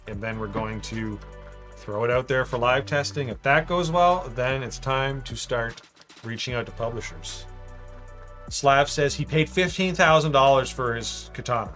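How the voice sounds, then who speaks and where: A man of ordinary pitch hosts a relaxed livestream, rambling and thinking aloud while he builds. He reads out chat, cracks jokes and drifts between topics. Soft music plays quietly behind him. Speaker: livestream host building a model.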